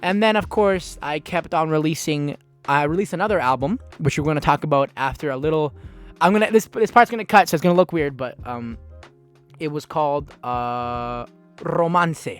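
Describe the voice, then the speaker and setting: A man's voice: on the high side, a bit bright. A young man from a Dominican and Peruvian background hosting his own podcast, rambling loosely, restarting sentences and swearing often. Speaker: podcast host. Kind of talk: casual solo podcast talk.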